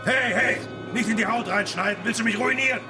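An actor in a radio play for children playing a cruel, domineering tanner boss who barks orders and threats. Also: male voice, very high-pitched, brisk, music in the background. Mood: angry, threatening.

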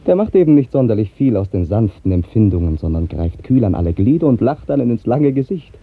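An actor playing a hearty, teasing, cheerful singer in an exuberant, declamatory style of 1950s radio drama. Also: male voice, on the low side, big pitch swings, fairly quick, husky, exaggerated voice. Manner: exuberant, declamatory, mocking, emphatic. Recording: muffled, tinny 1950s radio recording.

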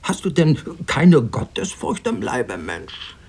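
Husky, excitable ship's cook. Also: male voice, mid-range voice, slow pace, full character voice. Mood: scolding. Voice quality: husky, creaky. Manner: scolding, preachy, repeats himself. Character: excitable, grumbling, pious ship's cook who curses. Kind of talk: radio drama acting.

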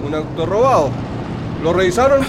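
A man's voice: high voice, lively intonation, moderate pace, a little hiss or hum, low-quality recording. A man playing a harsh Chilean police officer in a radio drama, barking curt orders and interrogating. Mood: stern, suspicious.

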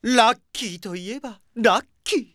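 A man playing an elderly, philosophical adviser in a comedic audio drama, with drawn-out pronouncements. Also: extremely high pitch, a bit bright. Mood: musing.

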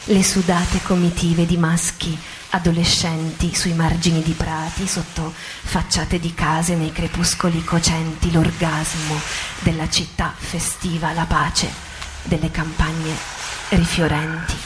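A woman narrator giving a dramatic literary reading in radio theatre, with measured, deliberate phrasing. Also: low voice, level tone, background noise. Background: music.

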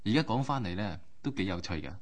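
A man with a Hong Kong accent, presenting as a radio co-host in a chatty, colloquial, expressive way. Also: lowish voice, quick pace, over loud music. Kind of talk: conversational presenting.

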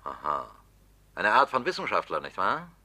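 An actor playing a scheming political opponent in a radio drama, talking bluntly and sarcastically. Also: male voice, sits low, wildly swooping pitch, normal speed, sharp tone, heavily acted. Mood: pressing. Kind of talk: 1960s-70s radio drama acting.

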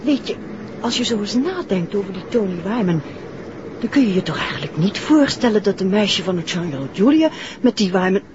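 An actress playing an observant, chatty detective's wife in a 1960s radio drama, speaking in an emphatic conversational way. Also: female voice, medium pitch, big pitch swings, moderate pace, close to an everyday voice. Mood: chatty, impatient. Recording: muffled, noisy old radio recording.

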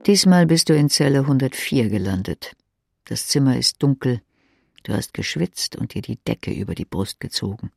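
A woman's voice: very deep voice, expressive, moderate pace, vocal fry, darkish tone, slightly rough, dry recording. A woman giving a scripted literary narration in the second person, as in an audiobook.

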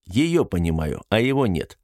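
A man's voice: lowish voice, big pitch swings, moderate pace, a little mellow, dry recording. A male reader voices a major with a sceptical streak. He sounds sardonic and teasing in this audiobook drama. The sound is very dry. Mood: wry.

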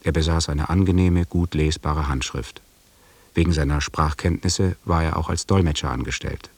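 A man's voice: a bass voice, normal speed, a little mellow, slightly rough, no echo, full character voice. A man playing a sober expedition chronicler, narrating in a factual, precise, detached way in a German radio drama. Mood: matter-of-fact.

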